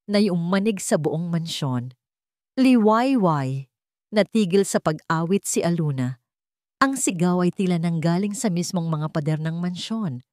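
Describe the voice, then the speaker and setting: Low-voiced Filipino woman narrating drama. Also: darkish tone, no echo, clean studio sound. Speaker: storyteller, narrator. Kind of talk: dramatic scripted audio story narration.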